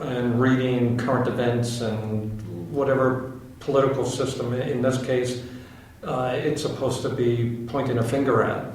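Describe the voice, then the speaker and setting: An American man puts rambling questions to an actor at a press roundtable. His voice sits at a mid pitch and sounds distant, with a strong room echo around it. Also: steady tone, unhurried, a little mellow. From United States. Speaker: journalist at a roundtable. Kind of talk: interview questions. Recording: echoey room, mic at a distance.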